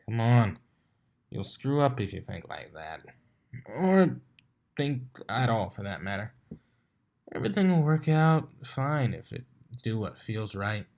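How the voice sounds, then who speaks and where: A man's voice: mid-range voice, sing-song, measured pace, vocal fry, long pauses, a little mellow. A man voicing a teasing, laid-back female unicorn pony DJ in a fan fiction reading. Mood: easygoing. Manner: nosy, playful teasing. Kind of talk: acted fan fiction dialogue.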